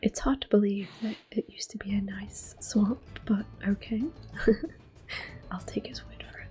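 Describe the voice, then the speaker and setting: A woman giving hushed, unhurried ASMR commentary on a video game as she plays it, speaking in soft whispers. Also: medium pitch, fairly even intonation, breathy edge. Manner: hushed, unhurried narration of own play. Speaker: ASMR gameplay host.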